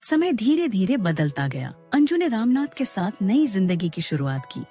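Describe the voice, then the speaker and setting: A woman's voice: medium pitch, sing-song, moderate pace, music in the background. A woman narrating a scripted moral story as a storyteller.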